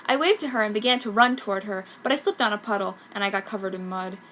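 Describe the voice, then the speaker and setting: A woman's voice: medium pitch, quick pace, fairly bright tone, slight background noise, close to an everyday voice. A woman voicing a self-pitying, dramatic goth schoolgirl telling her own story in a fanfic reading. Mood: sad, self-pitying. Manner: melodramatic. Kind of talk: mock-earnest first-person fanfic narration.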